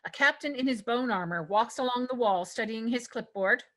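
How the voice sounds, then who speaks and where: Bright, high-voiced woman narrator. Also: moderate pace. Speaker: narrator reading stage directions. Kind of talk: scripted screenplay narration.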